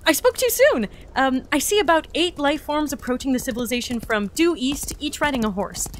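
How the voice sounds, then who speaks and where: A woman playing an eager, naive explorer entity who excitably logs observations aloud in an audio drama. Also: sits high, brisk, a little hiss or hum. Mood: excited. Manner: excitable, gushing, talking through observations aloud. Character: eager, naive young explorer entity logging a new reality. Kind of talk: audio drama mission log.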